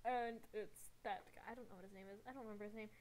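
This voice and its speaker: A woman's voice: medium pitch, steady tone, very fast, darkish tone. A woman casually dubbing fan comics as an amateur, rambling and correcting herself with a giggly manner. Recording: noisy amateur home recording.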